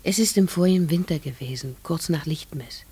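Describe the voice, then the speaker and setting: An actress playing a troubled middle-aged farmer's wife in a German radio play, guarded, halting and fearful. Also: female voice, low voice, warm tone, husky, heavily acted. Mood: confiding, hushed.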